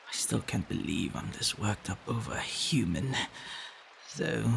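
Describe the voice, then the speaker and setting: A man acts out a possessive, worried vampire lover for an ASMR audio roleplay. His voice is low and full of gravelly fry, and he speaks very slowly. The delivery is hushed, intimate and protective, with halting phrases that drift into whispers. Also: slight background noise, exaggerated voice, poor audio. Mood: tender, reflective.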